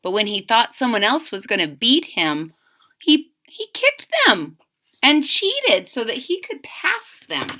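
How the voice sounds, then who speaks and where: A woman reading a children's picture book aloud in an expressive, sing-song manner, speaking directly to young listeners. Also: fairly high pitch, a little slow.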